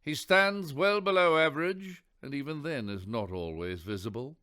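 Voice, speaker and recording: A man narrating a radio play in a wry, theatrical storyteller's manner with deliberate emphasis. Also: fairly high pitch, expressive, pushed and bright, clear, resonant tone.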